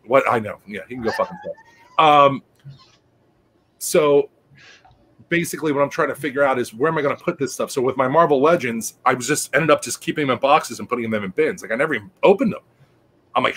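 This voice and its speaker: A man chatting casually in a bright, forceful voice. He stammers and restarts often and drifts into crude jokes. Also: a little high, lively intonation, quick pace. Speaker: podcast co-host. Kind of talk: casual chat.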